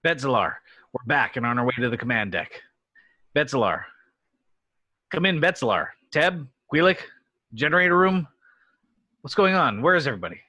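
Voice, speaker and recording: A man's voice: mid-range voice, sing-song, moderate pace, long pauses, bright, forceful tone, dry recording. A man playing a brash, commanding heroic leading man in a table read, urgent and earnest in his delivery.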